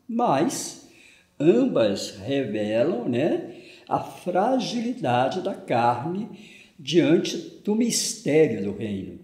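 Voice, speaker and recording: A man's voice: fairly high pitch, mellow. An older man with a Brazilian Portuguese accent, a parish priest giving a conversational homily, often adding "né" as he talks. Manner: conversational, frequent "né" tag questions, gestures with hands. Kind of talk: homily on the gospel. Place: indoors at home, small room. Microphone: fixed camera at medium distance. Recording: echoey room.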